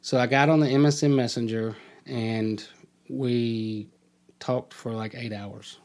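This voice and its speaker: Male podcast guest, middle-aged, casual storyteller who voices the people he quotes, medium pitch. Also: steady tone, unhurried, darkish tone. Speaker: podcast guest telling his life story.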